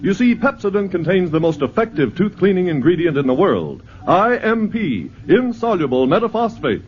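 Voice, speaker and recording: A man reading a 1950s radio toothpaste commercial as an emphatic pitchman. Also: big pitch swings, moderate pace, slight background noise. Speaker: announcer. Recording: dull old radio broadcast.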